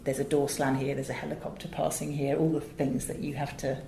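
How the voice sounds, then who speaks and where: Low-voiced British woman in an echoey room. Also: flat delivery, fairly quick, creaky, mellow. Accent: British English. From England. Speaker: interview guest, audiobook director and producer. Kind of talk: conversational interview answers. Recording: roomy echo, faint background noise.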